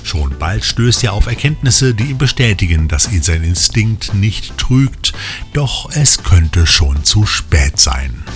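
A man with a fairly low voice hosts a scripted film news podcast. He speaks straight to the listeners and drops in tongue-in-cheek asides. Music runs underneath his narration. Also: sing-song, takes it slowly. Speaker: podcast host.

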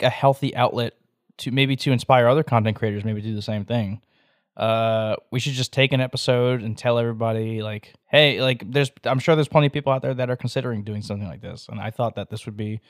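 A man's voice: mid-range voice, no echo, studio quality. A young man co-hosting a card game podcast, rambling casually with lots of fillers and self-deprecating jokes. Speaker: podcast co-host. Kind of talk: casual chat.

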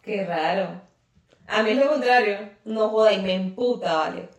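A woman's voice: medium pitch, normal speed, distant mic. Chatty Colombian coastal woman, roomy sound. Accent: Colombian Caribbean coast. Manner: laughs often, teasing and chatty. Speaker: podcast co-host. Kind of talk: casual chat. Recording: echoey room, off-mic.

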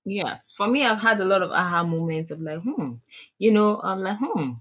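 A woman's voice: mid-range voice, animated, normal speed, a bit bright. A woman with a Nigerian accent, answering interview questions hesitantly with many fillers. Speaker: guest, online seller.

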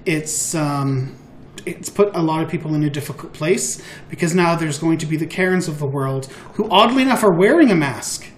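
A podcast co-host chatting casually in an animated, sweary storytelling way, often acting out quotes. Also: mid-range voice, normal speed. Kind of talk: casual group chat. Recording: roomy echo, audible background noise.

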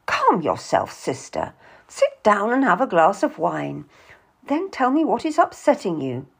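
A woman's voice: medium pitch, wildly swooping pitch, a little slow, gravelly, breathy edge, exaggerated voice. Woman acting a cool, bored genteel lady, lilting. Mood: bored, commanding. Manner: cool, unconvinced. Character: bored, sceptical, composed sister, a genteel lady.

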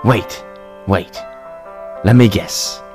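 A man playing an eager teenage vampire little brother in a fantasy audio drama, excitable and wheedling. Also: lowish voice, extremely slow, over music. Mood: pleading.

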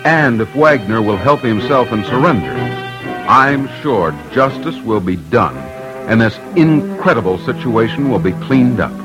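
A man hosting an old-time radio crime documentary, reading scripted narration in an emphatic, deliberate, dramatic way. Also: medium pitch, expressive, rough recording. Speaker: host and reporter of a crime documentary radio show. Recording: old radio recording with music.

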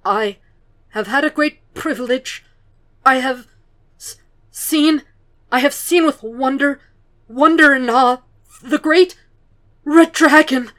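A woman's voice: sits high, slow, pauses often, a bit bright, voice pushed up. A woman voicing a terrified captive man who stammers through a forced, pleading confession. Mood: terrified stammering. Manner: stuttering, strained and pleading.

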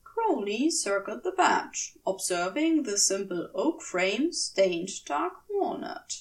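Woman, story narration, lively melodic range, slow pace, slightly distant microphone. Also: mid-range voice, gravelly. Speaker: podfic reader. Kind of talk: expressive scripted story narration.

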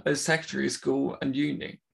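A man's voice: mid-range voice, level tone, fairly quick, creaky, a bit bright, slightly rough. A man who stammers, talking casually and openly with many fillers. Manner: stammers, talks openly about it. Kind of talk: casual conversation.

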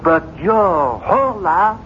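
A man's voice: very animated, extremely slow, fairly bright tone, full character voice. A man playing a skeptical, dutiful doctor in a 1970s radio drama, speaking in a blunt, exasperated and emphatic way. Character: stern, skeptical male French doctor, dutiful and patriotic. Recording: dull 1970s radio broadcast, faint rumbling noise.

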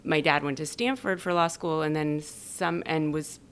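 Low-voiced woman, rambling and animated. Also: moderate pace, slight background noise. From born in Denmark, raised in California. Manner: animated, rambling, with many fillers and laughs. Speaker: guest, activist and performance artist. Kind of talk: casual conversational interview.

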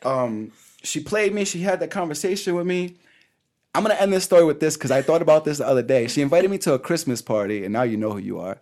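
A young man in his late twenties spins a story in loose, slangy chat, laughing as he goes. His voice is a bit higher than most men's and swings up and down a lot. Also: fast talker. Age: 20s. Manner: animated, slangy, laughs through his stories. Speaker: podcast co-host.

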